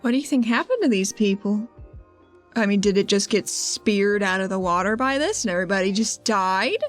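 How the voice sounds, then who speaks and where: A woman's voice: medium pitch, moderate pace, soft background music. A woman playing a tabletop roleplaying game, chatting informally and joking along. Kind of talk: casual roleplaying game chat.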